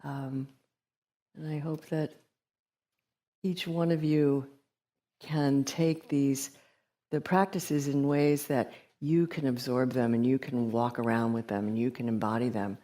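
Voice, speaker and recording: A woman gives a gentle retreat teaching talk in a soft, hesitant way, with many pauses and fillers. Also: a bass voice, fairly even intonation. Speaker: meditation teacher. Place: meditation hall.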